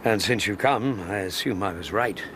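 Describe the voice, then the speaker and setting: An English actor playing a detective's loyal, earnest companion in a radio drama, speaking in a forthright, gentlemanly way. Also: male voice, on the low side, moderate pace, noisy background, close to an everyday voice. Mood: sober.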